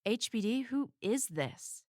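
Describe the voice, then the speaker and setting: A woman playing a deadpan, irritable assistant in an audio drama, blunt and sarcastic. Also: on the high side, takes it slowly, no echo, studio quality. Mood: annoyed.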